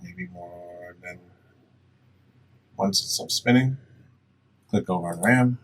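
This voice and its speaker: Low-voiced man giving a software tutorial. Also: takes it slowly. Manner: plain-spoken, instructional. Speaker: tutorial presenter. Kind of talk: casual step-by-step software tutorial. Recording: distant mic, faint background noise.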